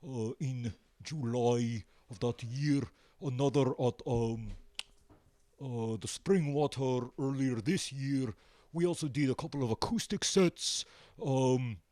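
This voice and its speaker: A man answering casually as a musician being interviewed, hesitant and pausing often. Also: mid-range voice, mellow, no echo. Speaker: band member, interview guest.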